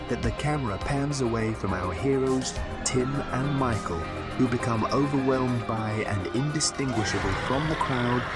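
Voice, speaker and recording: A man playing the theatrical, grave storyteller of a mock-epic adventure in a scripted radio play. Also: medium pitch. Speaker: narrator. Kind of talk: scripted tongue-in-cheek radio play narration. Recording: loud music behind.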